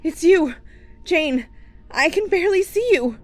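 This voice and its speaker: A woman's voice: very high-pitched, expressive, unhurried, faint music behind. A woman playing a self-important but jittery young documentary maker in a horror audio drama, chattily narrating her surroundings. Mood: anxious surprise.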